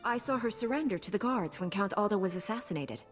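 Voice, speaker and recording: Game heroine: actress as young Jedi knight, mid-pitched, earnest, resolute. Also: female voice, lively intonation, fast talker, soft background music, lightly acted. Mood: concerned. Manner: earnest, direct.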